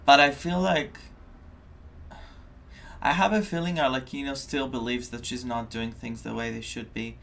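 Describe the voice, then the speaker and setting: A game streamer giving casual live commentary, musing and halting while thinking out loud. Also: medium pitch, fairly even intonation, creaky.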